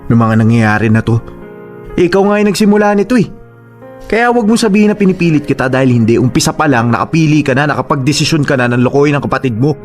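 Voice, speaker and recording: A man's voice: fairly high pitch, animated, fast talker, soft background music, close to an everyday voice. A man acting in a Filipino radio drama as a persistent, manipulative young suitor with an insistent, goading delivery. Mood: accusing.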